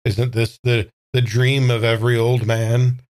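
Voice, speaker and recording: A man playing an uncertain old man reborn in a young body, speaking haltingly with repeated words in an improvised audio drama. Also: mid-range voice, level tone, darkish tone, natural voice. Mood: musing, muddled.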